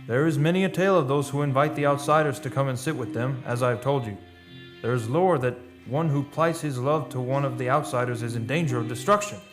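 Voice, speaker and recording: An actor playing a skeptical, cautioning friend steeped in old lore, speaking in folksy phrases in a radio play. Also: male voice, mid-range voice, a little fast, close to an everyday voice. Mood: warning. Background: music.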